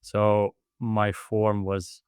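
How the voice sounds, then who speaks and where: A man with a low, smooth voice and a flat, even delivery, recorded close and dry. He talks reflectively in an interview, pausing and restarting as he searches for words. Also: no room sound. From Latvia. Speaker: podcast guest, endurance athlete and heart rate expert.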